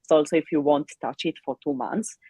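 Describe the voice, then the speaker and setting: A woman who is not a native English speaker talks over a video call, her voice husky and her words halting, full of fillers and restarts. The sound is dry and close, with no room around it. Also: low-pitched, fairly even intonation, warm tone, no room sound. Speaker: co-host of a live reading stream. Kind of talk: casual chat.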